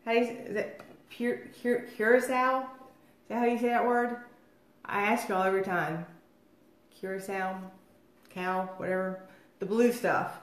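A woman's voice: mid-range voice, level tone, a little slow. Chatty woman with a cold. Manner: rambling and chatty, many fillers. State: getting over a cold, nasal and phlegmy. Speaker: host of a home cocktail livestream. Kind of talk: casual livestream chat. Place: dining room at home, indoors. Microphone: phone or webcam across the table. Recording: echoey room.

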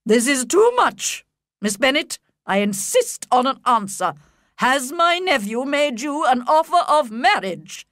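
A haughty older aristocratic lady, put on by a woman narrator, speaks slowly with stiff, commanding emphasis in a storybook reading. Her pitch swings widely as she presses her points, and the recording sounds close and dry. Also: very animated, fairly bright tone, dry recording, heavily acted. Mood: angry. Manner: imperious, slow and emphatic.

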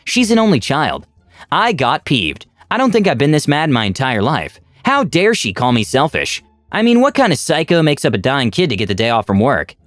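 Man narrating with a swooping, lively voice. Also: mid-range voice, no echo. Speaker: narrator reading reddit posts. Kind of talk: scripted story narration. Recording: dry close mic with faint music.

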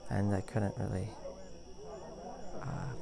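A young man acting as a caring, goofy boyfriend in an intimate ASMR roleplay, talking in a rambling, halting way. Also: fairly low pitch, monotone, vocal fry, a crowd in the background, exaggerated voice. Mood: amused, chatty. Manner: rambling, halting, chuckling storyteller. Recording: music playing behind the voice.

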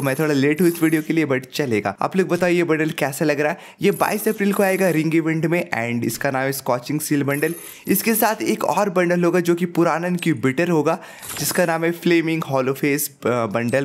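A young man with a sore throat giving excited live commentary over his own mobile battle royale match, hyping up his kills and laughing often, speaking into a headset at home. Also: fairly high pitch, fairly quick. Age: young adult. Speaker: gaming YouTuber. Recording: game gunfire behind.

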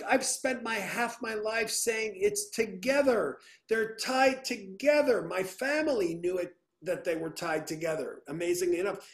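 A middle-aged man, a composer, talking earnestly on a video call from his home, often restarting his sentences. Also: high-pitched. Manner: earnest, animated, many restarts. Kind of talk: casual video call conversation. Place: home room with piano. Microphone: video call webcam.

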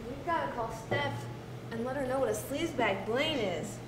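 A woman's voice: sits high, lively intonation, moderate pace, roomy sound, far from the mic, background noise. A woman acting in a comedic drama, bossy and gossipy in manner.

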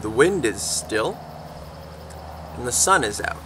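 Slow, animated young man reading aloud. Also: medium pitch. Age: young adult. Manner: expressive, deliberate, pausing between phrases. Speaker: teacher reading picture books. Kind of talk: children's story read-aloud. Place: outdoors in a fenced backyard garden. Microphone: camera a few feet away. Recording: faint steady outdoor background noise.

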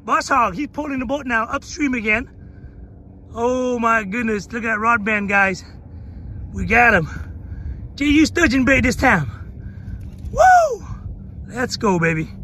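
A man hosting a fishing vlog from a small boat, giving excited commentary, whooping and repeating himself while fighting a fish. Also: very high voice, a little slow, long pauses. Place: small open boat on a wide river delta. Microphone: action camera on the boat. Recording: noisy outdoor action-cam audio. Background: wind, water and boat noise.

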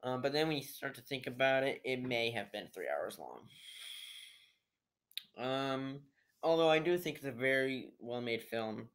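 A man's voice: medium pitch, lively intonation, measured pace, a bit bright, smooth. A teenage boy giving a casual, unscripted movie review in a rambling, hesitant way, recorded at home on a built-in computer microphone. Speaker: amateur video reviewer. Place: living room at home, indoors.

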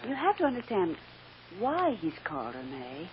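Low, lively loyal lawyer's aide. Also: female voice, moderate pace. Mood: gentle, serious. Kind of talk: old-time radio drama acting. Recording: muffled, hissy old radio recording.

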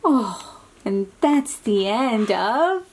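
A woman reading a picture book aloud to young children, expressive and full of exclamations, drawing out words and dropping into hushed asides as she asks simple questions. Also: on the high side, very slow, very noisy. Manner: talks directly to young listeners with simple questions. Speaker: children's read-aloud host.

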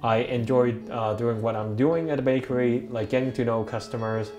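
A man's voice: medium pitch, fairly even intonation, normal speed, music in the background. A young man from Hong Kong whose first language is Cantonese, speaking thoughtfully with many fillers in an interview. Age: young adult. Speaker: interviewee, bakery worker and student. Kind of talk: documentary interview. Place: bakery kitchen indoors.

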